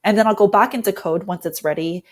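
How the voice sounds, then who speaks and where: A woman's voice: mid-range voice, fast, darkish tone. A woman speaking as an interview guest, enthusiastically explaining how she works as a design lead in a conversational way. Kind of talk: conversational explanation of work process.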